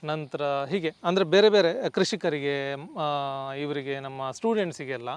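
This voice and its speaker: A man's voice: a little high, unhurried, smooth, no echo. A man with a coastal Karnataka accent answering as a company executive guest, explaining a product and mixing in English terms. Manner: code-mixes English terms. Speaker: company CEO as interview guest.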